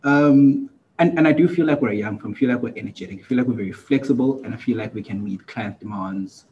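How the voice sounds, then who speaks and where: A man's voice: mid-range voice, fast talker, darkish tone. A man in his twenties talking casually as a podcast co-host. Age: 20s. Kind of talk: casual conversational discussion. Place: indoor room, seated. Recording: slightly dull sound, faint background noise.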